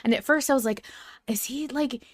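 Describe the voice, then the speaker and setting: A woman's voice: high-pitched, fairly quick, no room sound, clean studio sound. A woman hosting a casual fan podcast, chatting with joking, mock-stern banter. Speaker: podcast host.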